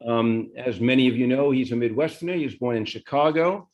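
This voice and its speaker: A man moderating a live conversation, introducing a guest and asking questions informally, with a slightly halting, conversational delivery. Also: mid-range voice, a little mellow, muffled recording. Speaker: moderator, interviewer.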